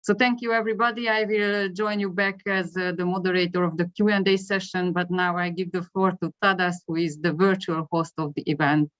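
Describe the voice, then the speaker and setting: A woman with a Hungarian accent welcomes an online audience, brisk and composed. Her voice is smooth and resonant, with little rise and fall. The audio is dry and dull, like a lo-fi call line. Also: lowish voice, level tone, fairly bright tone, dry recording. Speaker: co-organizer and moderator. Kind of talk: formal welcome. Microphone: video call.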